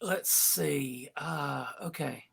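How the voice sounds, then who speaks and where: Joking host, casual script narration, mid-pitched, bright, slow-paced. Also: gravelly, breathy edge. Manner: joking, wry. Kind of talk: casual live table read with chat.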